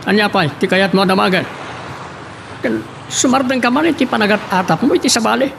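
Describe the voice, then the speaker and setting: A man's voice: high-pitched, a little fast, gravelly, distant mic, noisy background. A man acting a middle-aged wealthy businessman and father in a Filipino radio drama, brusque and commanding, with a Filipino accent. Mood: curt, irritated.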